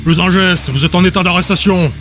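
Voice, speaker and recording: A man acts out an old, battle-worn councillor from a fantasy audiobook. He speaks in a guttural, grave voice with stern authority and suspicion, over clearly audible music. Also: fairly quick, fairly bright tone. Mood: commanding. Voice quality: low, guttural.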